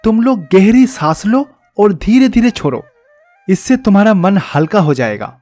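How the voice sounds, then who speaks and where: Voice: mid-range voice, big pitch swings, normal speed, warm tone, natural voice. An actor plays a kindly divine teacher in disguise from a devotional storybook tale. He speaks patiently, advising and encouraging children, with faint music behind him. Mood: calm, reassuring.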